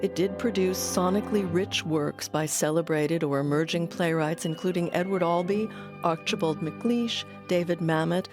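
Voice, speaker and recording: A woman with an American accent giving scripted documentary narration in a measured, articulate way. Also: lowish voice, over music.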